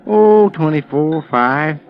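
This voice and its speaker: A man's voice: on the high side, lively intonation, takes it slowly. An actor playing a streetwise, wisecracking male informant in a vintage radio drama, talking in slang. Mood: gossipy. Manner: slangy, teasing. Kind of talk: 1950s radio drama acting. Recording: dull vintage radio recording.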